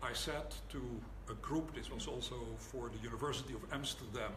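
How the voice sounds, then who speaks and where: Older male lecturer, Dutch accent, dry wit, measured delivery, roomy hall with background noise. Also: medium pitch. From Netherlands. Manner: wry, deliberate, pauses for laughs. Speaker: rabbi giving a lecture. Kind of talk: public lecture. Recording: echoey hall, audible room noise.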